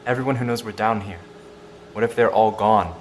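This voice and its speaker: Actor as skeptical survivor, male, lowish voice, probing, faint noise behind. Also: a little fast, close to an everyday voice. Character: doubtful male bunker survivor pressing hard questions. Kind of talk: audio drama acting.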